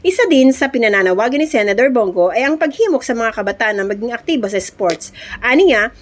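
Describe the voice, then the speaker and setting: Fast-talking Filipino woman reading news. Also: on the high side, animated, slight background noise. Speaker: news reporter. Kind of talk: scripted news report narration.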